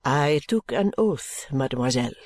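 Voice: mid-range voice, lively intonation, normal speed, a little mellow, no echo, pitched below the performer's own voice, close to an everyday voice. A narrator voicing a fervent, courtly Frenchwoman with a French accent, earnest and pressing in an audiobook story. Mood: intense politeness. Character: fervent, intense Frenchwoman seeking work as a lady's maid.

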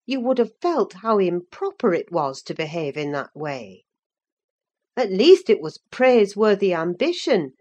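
Woman voicing an earnest, low, scolding motherly servant. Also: a little mellow. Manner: admonishing, measured. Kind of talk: dramatised classic novel reading.

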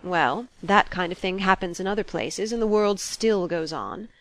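A woman reading a storybook as an ironic, impatient young gentleman, polite but argumentative. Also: on the low side, moderate pace, dry recording, close to an everyday voice.

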